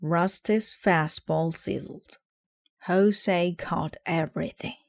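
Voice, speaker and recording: A woman narrates a children's storybook in a fairly low voice. She reads very slowly, and the sound is dry and close. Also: vocal fry, no room sound. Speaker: storybook reader.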